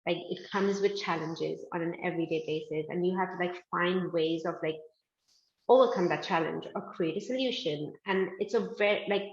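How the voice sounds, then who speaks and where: A woman's voice: on the low side, a little fast, reverberant, muffled recording. A woman from India, a business founder, talking casually in an interview with lots of "like" fillers. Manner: chatty, many "like" fillers, upbeat. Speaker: guest, fashion manufacturing founder.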